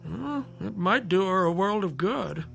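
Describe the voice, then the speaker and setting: An actor playing a kindly family doctor in a radio drama, soothing and gently skeptical with a lightly teasing bedside manner. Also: male voice, sits high, animated, unhurried, creaky, faint music behind, full character voice. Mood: concerned, probing. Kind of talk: modern radio drama acting.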